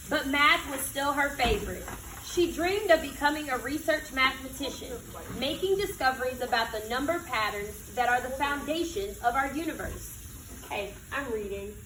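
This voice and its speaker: An American woman reading a picture book aloud to children like a teacher, with expressive, emphatic delivery and questions to her listeners. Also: high voice. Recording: echoey room, distant mic, background noise.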